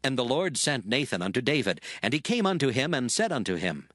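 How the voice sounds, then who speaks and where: A man narrating dramatized scripture in a measured, solemn way. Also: mid-range voice, very animated, fairly bright tone, dry recording, clean studio sound.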